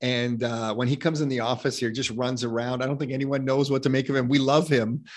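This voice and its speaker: Male podcast host, eager, talky, mid-pitched, casual interviewer. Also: flat delivery, a little fast. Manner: enthusiastic, rambling with restarts.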